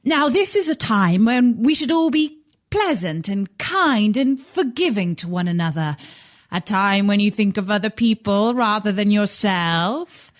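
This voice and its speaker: A woman's voice: mid-range voice, lively intonation, a little slow, lightly acted. A woman playing meek, polite, good-natured men in a children's audiobook drama. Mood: cheerful, warm. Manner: polite, hesitant, earnest. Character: meek, polite, cheerful male clerks and well-wishers.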